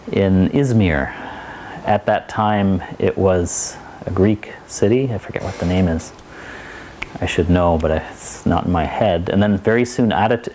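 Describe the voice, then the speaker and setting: Male lecturer, very low voice, digressive and restarting often; faint hiss behind him. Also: dark tone. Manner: digressive, many restarts and asides. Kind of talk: university lecture. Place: lecture hall.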